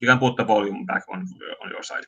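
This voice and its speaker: A Finnish man with a bright, forceful tone talks film in a relaxed chat over a call. He speaks English as a second language, with frequent fillers and restarts as he hunts for words. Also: on the low side, highs cut off. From Finland. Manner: halting, many restarts and fillers. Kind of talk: casual chat about films.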